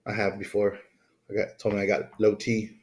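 A man with a fairly low voice chats as a podcast co-host. His banter is casual and slangy, with plenty of swearing. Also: completely flat delivery, normal speed, darkish tone. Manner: profane, slangy.

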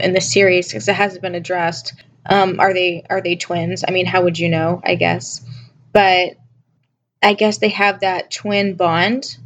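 A woman hosting a solo review podcast, talking casually while sick and losing her voice, with a scratchy voice and musing, self-questioning delivery. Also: lowish voice. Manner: musing, asks listeners questions, many restarts. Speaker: podcast host reviewing a TV episode.

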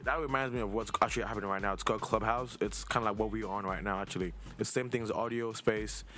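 Male host, twenties, from Nigeria. Rapid, slightly low-voiced, loose and rambling conversational questioning, light music underneath. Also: fairly even intonation, pushed and bright. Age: 20s. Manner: informal, rambling, circles around his questions. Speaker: host interviewing a younger relative. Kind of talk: casual conversational interview.

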